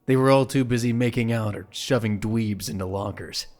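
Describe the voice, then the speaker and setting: A man's voice: on the low side, moderate pace, darkish tone. A man giving scripted, dramatic story narration for an audio drama.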